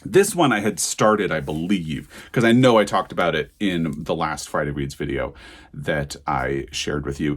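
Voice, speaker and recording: Very low-voiced man chatting casually. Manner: conversational, digressive, self-correcting. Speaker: book vlogger. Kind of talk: casual chatty vlog to camera.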